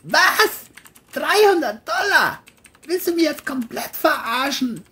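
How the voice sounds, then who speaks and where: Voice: high voice, very animated, slow pace, sharp tone, pitched above the performer's own voice, exaggerated voice. A narrator voicing an irritable, foul-mouthed young man in an audio crime drama, whiny and exasperated. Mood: indignant, angry.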